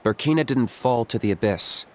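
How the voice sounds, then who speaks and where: A man voicing a curt, unflappable young warrior in a video game, with a deadpan, terse delivery. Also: medium pitch, moderate pace, a little hiss or hum, lightly acted. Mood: matter-of-fact.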